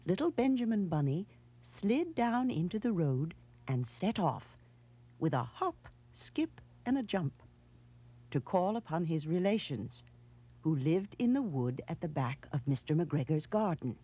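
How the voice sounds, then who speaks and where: A woman reading a children's storybook in a measured, expressive storytelling manner. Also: fairly low pitch, breathy edge. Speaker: storybook narrator. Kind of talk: scripted children's storybook narration.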